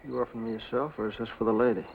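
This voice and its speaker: An actor playing a hard, cynical outlaw in an old-time Western drama, with folksy, sardonic talk. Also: male voice, mid-range voice, fairly even intonation, rapid-fire, vocal fry, slight background noise, lightly acted. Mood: wry, guarded. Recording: dull vintage audio with music behind.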